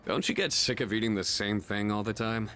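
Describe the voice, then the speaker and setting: A man voices a frank young guy chatting in a game scene. His voice sits fairly low, casual and direct, over faint music. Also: a little fast, a bit bright, natural voice. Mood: casual probing. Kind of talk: video game voice acting.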